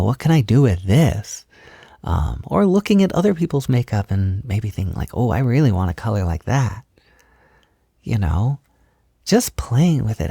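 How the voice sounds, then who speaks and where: A man playing a gentle, affectionate boyfriend in an intimate roleplay audio, speaking softly and soothingly, with unhurried reassurance. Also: mid-range voice, vocal fry, no room sound, studio quality. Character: gentle, affectionate boyfriend comforting his insecure partner. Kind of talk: intimate roleplay audio, soft-spoken ASMR.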